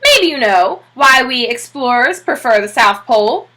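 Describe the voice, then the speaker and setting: A woman's voice: high-pitched, lively intonation, measured pace, bright, forceful tone, exaggerated voice. A woman voicing a hearty, emphatic bearded admiral in a children's storybook. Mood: hearty, confident. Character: Admiral Drake, great bearded hearty explorer man.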